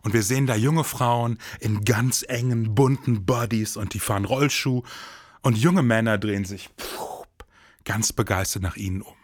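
Low-voiced man co-hosting a podcast. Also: normal speed, no room sound, studio quality. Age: 40s. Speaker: podcast co-host. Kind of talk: podcast conversation with scripted narration.

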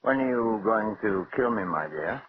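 A man's voice: lowish voice, expressive, moderate pace, breathy edge. An actor playing a dying husband who suavely taunts his wife in theatrical, mock-affectionate tones, in a 1940s radio drama. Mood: suave taunting. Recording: muffled, hissy old radio recording.

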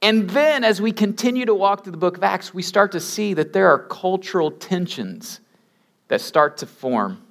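A man preaching a sermon in a lively, storytelling way. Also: high-pitched, moderate pace, creaky.

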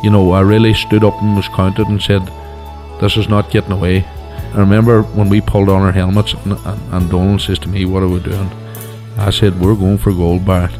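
A man proudly recalling a rally win in an emphatic, slow storytelling manner. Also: low-pitched, little pitch movement, darkish tone. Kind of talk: reminiscing interview clip. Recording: interview clip with music underneath.

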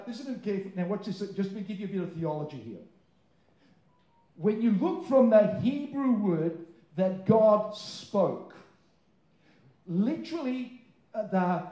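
A male pastor, a second-language English speaker, preaching emphatically with stretched key words, quick informal asides and rhetorical questions. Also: sits high, warm tone. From born abroad, moved to Australia aged nine. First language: not English; English is a second language. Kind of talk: sermon to a live congregation. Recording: roomy, echoing live sermon sound.

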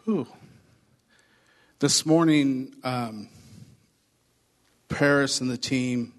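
Hoarse pastor guy, upbeat and chatty, talking to the congregation in a church with long echo. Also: medium pitch, very slow. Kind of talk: church announcements and sermon. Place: church sanctuary. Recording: long reverb of a church hall.

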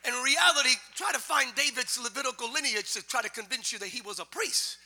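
Male pastor, very high pitch, very bright tone, sermon in a church. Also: fairly quick. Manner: builds from quiet talk to loud sung preaching. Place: church sanctuary.

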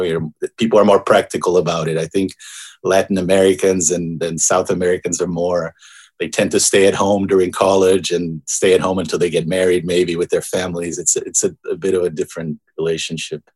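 A man in his forties from Brazil, a composer being interviewed, talking in a thoughtful, halting way with many restarts. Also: sits low, a little mellow, husky. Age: 40s. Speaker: guest composer. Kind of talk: casual interview conversation.

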